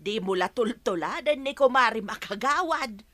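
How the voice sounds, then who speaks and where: A woman's voice: fairly high pitch, lively intonation, moderate pace, sharp tone, no echo. A woman acting a mocking, pushy village gossip in an Ilocano radio comedy drama, with an Ilocano accent.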